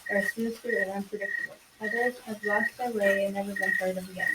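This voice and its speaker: A young female amateur playing a proud, mysterious poor old woman in a radio play, with halting, repetitive delivery. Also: medium pitch, fairly even intonation, close to an everyday voice. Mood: cryptic advising. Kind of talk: amateur school radio drama acting. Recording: distant mic, loud background noise.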